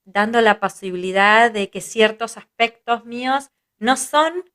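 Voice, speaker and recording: A woman's voice: fairly high pitch, unhurried, a bit bright. A woman with an Argentine Spanish accent, whose first language is Hebrew, speaking as a guest psychologist in a reflective interview, thinking aloud and often adding tag questions. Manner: thoughtful, listing ideas with frequent tag questions.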